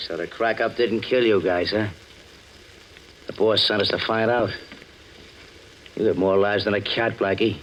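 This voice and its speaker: A man's voice: lowish voice, steady tone, unhurried, pauses often, fairly bright tone. An actor playing a dim, menacing henchman in a 1940s radio crime drama, talking in tough-guy slang. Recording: muffled, hissy old 1940s radio recording.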